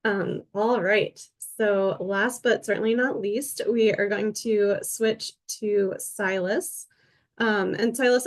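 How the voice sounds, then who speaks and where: A woman moderating a panel, reading out speaker introductions in a friendly way with frequent "um" fillers. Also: medium pitch.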